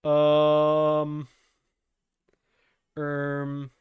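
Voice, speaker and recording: A man's voice: on the high side, completely flat delivery, extremely slow, clean tone. A man giving casual live gaming commentary as a streamer, informal, with muttered asides and mild swearing. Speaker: video game streamer.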